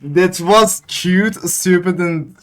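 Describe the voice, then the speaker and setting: A man giving casual, excitable gameplay commentary, often repeating his words. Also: high voice, slow pace. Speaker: gamer commentating play. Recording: game music behind. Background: video game music.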